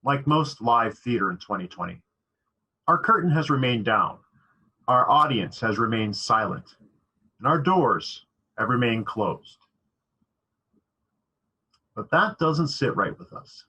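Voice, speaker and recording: A man with a slightly husky voice giving an earnest, scripted introduction as a theatre director. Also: medium pitch, big pitch swings, measured pace, long pauses, bright, forceful tone, rough recording.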